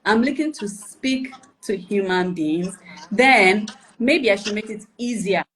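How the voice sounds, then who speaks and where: A woman teaching personal branding on a live video session. Her voice sits at an ordinary middle pitch, and she talks patiently and methodically. She builds her points through lists and rhetorical questions and keeps checking that the listener follows. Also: measured pace, noisy background. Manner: explanatory, checks for understanding with "right?" and "okay?". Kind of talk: instructional live chat.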